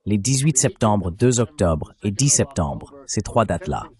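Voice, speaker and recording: A man's synthetic dubbing voice reading political commentary in a measured, articulate way. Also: fairly low pitch, very animated, warm tone. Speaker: voice-over translator for a panelist.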